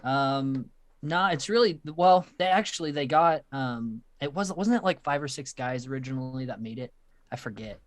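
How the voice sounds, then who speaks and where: Casting: young male co-host, mid pitch, relaxed and a bit halting, dry close mic. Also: no room sound. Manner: easygoing and a little hesitant. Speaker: podcast co-host. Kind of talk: casual podcast chat.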